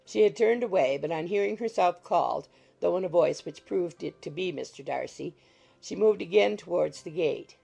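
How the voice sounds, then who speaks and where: A woman reading scripted literary narration from a classic novel as an audiobook narrator, in a husky, creaky voice. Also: lowish voice, normal speed, dark tone. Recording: music behind the voice. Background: music.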